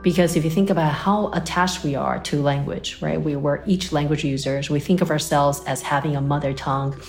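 A woman from Taiwan speaks as an expert guest in an interview, with a notably deep voice for a woman. She explains ideas in a thoughtful, academic way and often checks in with "right?" and "you know". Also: steady tone, a little fast, faint music behind. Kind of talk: interview answers.

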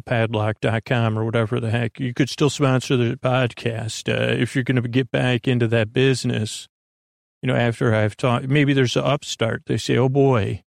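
A middle-aged American man hosting a sleep podcast in a soothing, meandering monologue, halting, interrupting himself and trailing off. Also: medium pitch, creaky, no room sound, clean studio sound.

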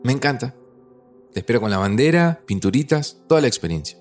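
An actor with an Argentine accent playing a gossipy, teasing middle-aged friend who finds goodbyes hard. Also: male voice, medium pitch, expressive, fairly quick, a little mellow, soft background music, natural voice. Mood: playful. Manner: teasing, gravelly, easygoing. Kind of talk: audio drama acting.

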